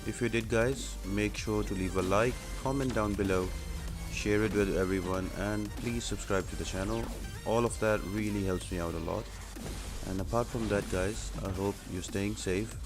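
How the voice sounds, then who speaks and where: A man's voice: on the low side, steady tone, moderate pace. A man giving casual, explanatory video game guide commentary, speaking directly to viewers as the host. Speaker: host of a gaming guide channel. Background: music behind the voice.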